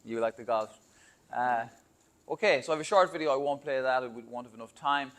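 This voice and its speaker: A man's voice: medium pitch, fairly quick, fairly bright tone. A man from Ireland with an Irish accent, giving a conversational presentation on university admissions to students and parents, with fillers and asides to the audience. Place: school hall or classroom.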